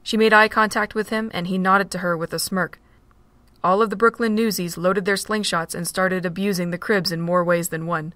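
A woman narrating an amateur audio drama in a steady, plain reading. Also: fairly low pitch, normal speed, no echo. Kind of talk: storybook narration.

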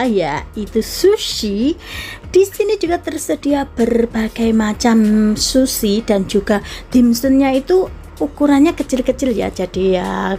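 A woman's voice: fairly high pitch, warm tone. A young Indonesian woman vlogging casually about a buffet restaurant, chatty and enthusiastic, talking to her followers. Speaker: food vlogger. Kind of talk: casual food vlog narration. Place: busy indoor buffet restaurant.

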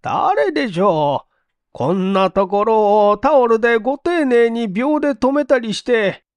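Man putting on a high-pitched fussy landlady. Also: lively intonation, measured pace, dry recording. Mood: fussy, puzzled. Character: fussy, grumbling middle-aged landlady. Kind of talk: dramatized audiobook reading.

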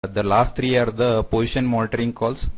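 A man narrating a software product demo step by step in a halting, explanatory way. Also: mid-range voice, steady tone, no room sound.

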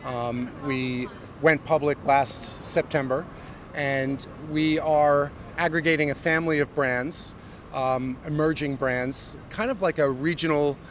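A middle-aged American man, a company CEO, giving a fluent corporate pitch with frequent ums and uhs in a street interview. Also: fairly even intonation, unhurried, fairly bright tone. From United States. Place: busy downtown street outdoors. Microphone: handheld mic held by interviewer. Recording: outdoor street with traffic noise. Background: city traffic.

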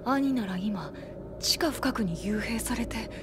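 A woman playing a young boy soldier in an anime game, with a cold, taunting and deliberate delivery. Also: on the high side, lively intonation, background noise. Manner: deliberate, menacing, measured delivery. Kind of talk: dramatic anime game voice acting.

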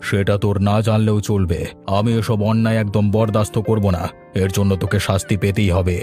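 A man's voice: on the low side, level tone, a little fast, mellow, faint music behind, close to an everyday voice. A man voicing a stern, fair-minded village elder in a cartoon, speaking firmly and with command. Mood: angry scolding. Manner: commanding, firm. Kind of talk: Bengali cartoon voice acting.

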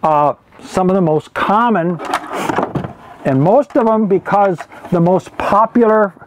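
A man in his seventies giving an informal show-and-tell as an archivist, speaking in a halting, self-correcting, explanatory way. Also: sits high, background noise. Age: 70s. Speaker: archivist presenting a collection. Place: indoor archive room with packed shelves. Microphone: lapel mic.

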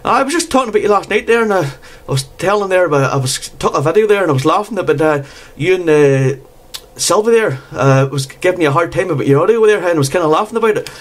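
A man's voice: sits high, lively intonation, quick pace. A man chatting casually as an amateur radio operator, often tagging his phrases with "there". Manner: repeatedly tags phrases with "there". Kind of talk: casual radio contact chat.

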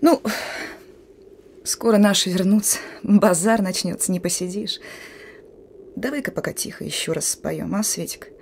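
An actress playing a young woman partisan with a gruff commander's front and a wistful heart, in a radio play. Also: medium pitch, moderate pace, pauses often, darkish tone, a little hiss or hum, close to an everyday voice. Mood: wistful, pleading.

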